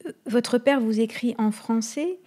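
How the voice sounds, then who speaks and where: A woman hosting a long interview, asking measured, detailed questions and laying out her guest's background before each question. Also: a little high, mellow. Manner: measured, attentive, lays out questions at length. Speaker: interviewer, host.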